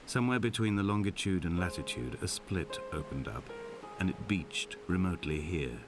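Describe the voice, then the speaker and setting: A very low-voiced, melancholy man narrates a video game with music clearly behind him. His delivery is solemn and measured, like poetic letters from someone without hope. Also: lively intonation. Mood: brooding, solemn. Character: brooding, hopeless man narrating letters on a lonely island.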